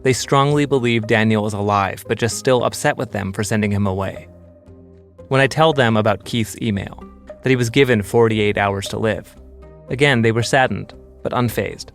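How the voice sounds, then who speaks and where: A man hosting an investigative podcast, calmly narrating a scripted documentary story. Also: lowish voice, moderate pace, soft background music. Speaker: podcast host and investigative reporter.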